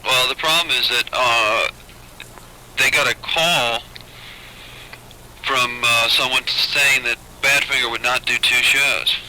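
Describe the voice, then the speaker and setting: Male booking agent, very sharp forceful tone, hesitant delivery, vintage phone recording with faint noise. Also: medium pitch, steady tone, slow, long pauses. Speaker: booking agent on a business call. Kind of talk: business phone conversation. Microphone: telephone. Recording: noisy old taped phone call.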